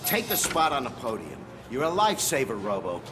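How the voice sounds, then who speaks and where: A man's voice: mid-range voice, huge pitch swings, fairly quick, background noise. An actor playing a harried, overwhelmed policeman in a video game, with a frazzled manner.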